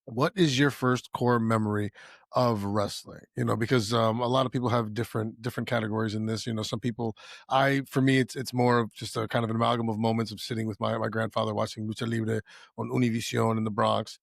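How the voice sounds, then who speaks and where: A man's voice: lowish voice, flat delivery, fast, creaky, dry recording, studio quality. A man co-hosting a podcast, asking questions in a rambling, friendly way with frequent restarts. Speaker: podcast co-host. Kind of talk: casual interview chat.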